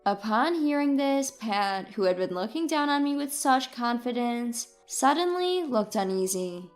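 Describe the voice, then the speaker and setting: A woman's voice: a little high, animated, measured pace, faint music behind. A woman reading a scripted first-person story as its narrator.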